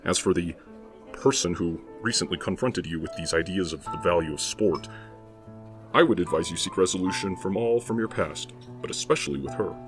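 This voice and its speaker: A pompous, lecturing old football authority. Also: male voice, low voice, a little fast. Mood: lofty. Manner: grandiloquent, formal and lecturing. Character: pompous older male football authority, 1920s. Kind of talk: audio drama acting. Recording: music playing underneath.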